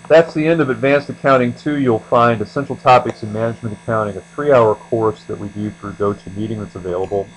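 A man giving an explanatory screencast lecture as an instructor, speaking deliberately and letting the ends of phrases trail off. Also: fairly low pitch, low-quality recording. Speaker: accounting instructor. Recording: steady mains hum behind voice.

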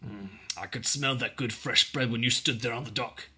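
A man's voice: medium pitch, normal speed, sharp tone, slightly rough, exaggerated voice. A man voicing a fat, greedy, bullying British soldier in a children's storybook, with grunting, snarling, rustic old-fashioned speech. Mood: sly, greedy.

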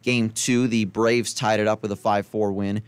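A young man hosts a college radio sports podcast, reading off game times and scores in a loose, chatty way and breaking off for quick asides. His voice is smooth and resonant. The close studio mic sounds dry and tight. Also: fairly low pitch, little pitch movement, dry recording. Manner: casual, chatty, self-correcting with asides. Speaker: podcast host. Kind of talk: solo sports podcast rundown.

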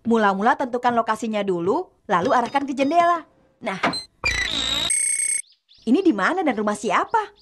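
A woman voicing a whiny, eager young schoolboy in a cartoon dub, childlike and expressive. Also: on the high side, moderate pace, long pauses, very noisy, natural voice.

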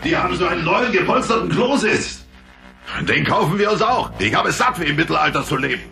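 An actor playing a sarcastic, grumbling, gluttonous middle-aged husband in an audio drama. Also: male voice, sits high, lively intonation, a little fast, creaky, pushed and bright, full character voice. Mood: grumpy. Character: grumpy, gluttonous neighbour husband. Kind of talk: German audio drama acting. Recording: background music on cassette drama.

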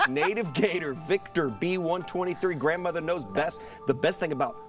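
A man's voice: fairly high pitch, expressive, moderate pace, recorded from a distance, over loud music. A man in his thirties co-hosting a comedy segment in a studio, reading out social posts in a jokey, mock-dramatic way. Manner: theatrical, jokey. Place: television studio set.

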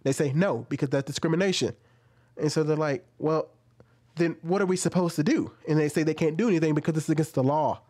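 Animated man questioning at home. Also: fairly high pitch, fairly quick, husky. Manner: animated, questioning, gestures while talking. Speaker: commentary host. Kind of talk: casual commentary. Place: small room at home, indoors. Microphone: studio mic on an arm, wearing headphones.

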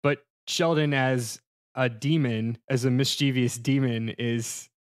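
A man's voice: mid-range voice, fairly even intonation, measured pace. A man hosting a podcast in a casual, joking, conversational style. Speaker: podcast host. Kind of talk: casual comic banter.

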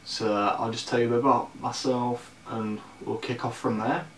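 An eighteen-year-old man with a Northern English accent from Leeds, talking casually and haltingly to camera as a fashion vlogger. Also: mid-range voice, little pitch movement, a bit bright. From Leeds, northern England. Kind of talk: casual product review to camera. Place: small bare room indoors. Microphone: camera mic at a distance. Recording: echoey room, distant mic, background hiss.

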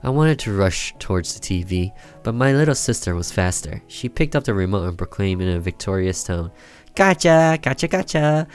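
A man's voice: low voice, lively intonation, a little fast, few pauses, darkish tone, soft background music. A man reading a horror visual novel aloud as a gaming video narration, in a storytelling style.

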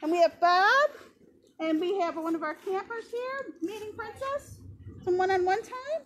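High-voiced upbeat young woman outdoors. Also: normal speed. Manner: upbeat, encouraging, talking to children. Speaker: animal shelter camp staff. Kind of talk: casual live-stream chat with kids. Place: outdoors on a grassy lawn. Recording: noisy outdoor phone recording.

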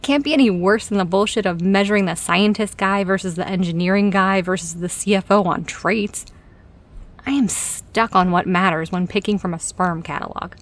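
A woman's voice: medium pitch, moderate pace, natural voice. A woman playing a frank, dry-humoured boss in an audio drama, speaking in a casual, candid way. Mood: frustrated. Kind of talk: audio drama acting, conversational.

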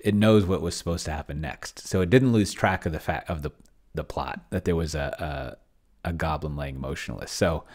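A middle-aged man thinking aloud casually at his desk, halting and murmuring as he types and reads, close to the microphone. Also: low voice. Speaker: host of a solo roleplaying experiment stream. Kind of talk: casual thinking aloud while typing and reading from screen. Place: indoor home room. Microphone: desk microphone close to the mouth.

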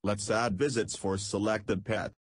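Low husky man narrating a coding demo. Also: steady tone, measured pace, no echo. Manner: terse, step-by-step instructions with non-native phrasing. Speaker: programming tutor. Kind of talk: screen-recorded coding tutorial narration.

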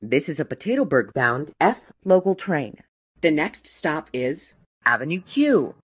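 A woman reading scripted train announcements in a measured, formal, segmented way. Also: low voice, big pitch swings, husky. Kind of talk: scripted transit announcement, spliced clips.

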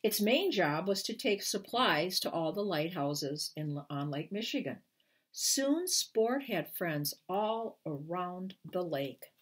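A woman's voice: lowish voice, animated, slow pace, breathy edge. A woman in her sixties reading a children's storybook aloud expressively, with careful, emphatic phrasing. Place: small furnished room at home, indoors.

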